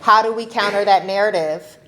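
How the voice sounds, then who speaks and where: A woman speaking on a convention panel about faith and technology. She talks in an animated, conversational way, laughing often and checking in with her audience with a quick "right?". Her voice sits at an ordinary middle pitch. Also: rough recording. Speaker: faith-based advocacy panelist. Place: convention session room.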